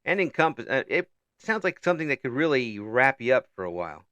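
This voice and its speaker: Male interview host, bright tense tone, chuckly and stumbling, dry close sound. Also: medium pitch, very animated, fast, dry recording, lo-fi sound. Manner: chuckles often, stumbles and repeats words. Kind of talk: casual interview chat.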